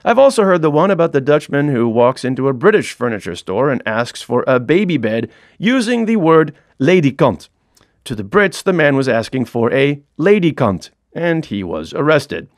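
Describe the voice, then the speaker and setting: A man's voice: medium pitch, sing-song, normal speed, no room sound, clean studio sound. A middle-aged man reading his own comic audiobook in a wry, self-mocking storytelling manner.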